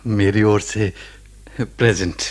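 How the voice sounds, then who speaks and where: An actor playing a gentle ailing husband in a Hindi radio drama, speaking earnestly with pauses between phrases. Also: male voice, lowish voice, fairly even intonation. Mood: tender, teasing.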